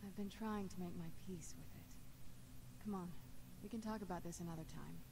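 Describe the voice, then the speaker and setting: An actress voicing a calm, guarded young woman companion in a video game, speaking quietly and with resignation. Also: lowish voice, a little fast. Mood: resigned. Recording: game audio with clear background noise.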